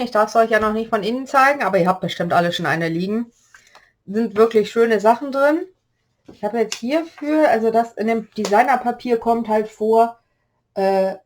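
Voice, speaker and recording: A woman with a slight cold-hoarse voice, chatting through a step-by-step paper-craft tutorial and explaining the measurements as she works. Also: fairly high pitch, a little mellow. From Germany.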